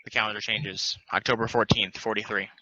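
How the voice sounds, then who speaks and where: A man narrating stage directions at a play table read in a plain, deliberate, announcing manner. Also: lowish voice, level tone, bright, forceful tone, muffled recording.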